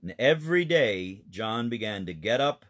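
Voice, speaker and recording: A man's voice: fairly low pitch, animated, normal speed, a bit bright. A man, a pastor, telling a children's missionary story in an earnest, explanatory way.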